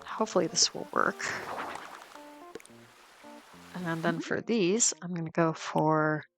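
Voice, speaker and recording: A woman with a lower voice than most talks through her game as the host, unhurried and chatty, dotting her thoughts with ums and restarts. She is getting over a cold and sounds a bit sniffly. Music plays clearly underneath her. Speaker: let's play host. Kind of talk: casual gameplay commentary.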